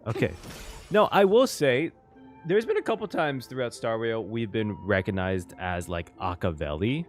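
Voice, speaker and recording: Animated man reacting casually. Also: on the low side, moderate pace, soft background music. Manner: animated, informal, swearing. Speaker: game reaction host.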